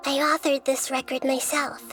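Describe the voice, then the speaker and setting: A woman voicing a wise, gentle young girl sage in a video game, speaking in a measured, articulate, scholarly way. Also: high voice, flat delivery, faint music behind. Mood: calm, focused. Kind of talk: scripted video game dialogue.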